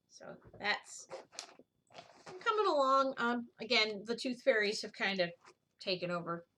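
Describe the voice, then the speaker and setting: A woman's voice: fairly high pitch, a bit bright. Rambling woman in a casual craft vlog. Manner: rambling, goes off on tangents. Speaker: needlework video host. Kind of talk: casual chat, show-and-tell vlog.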